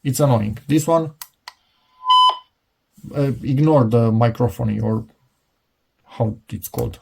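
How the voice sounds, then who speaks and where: A man's voice: mid-range voice, slow pace, gravelly, very noisy. A middle-aged man giving a casual, unscripted product demonstration, hesitant and rambling as he thinks aloud. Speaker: product reviewer.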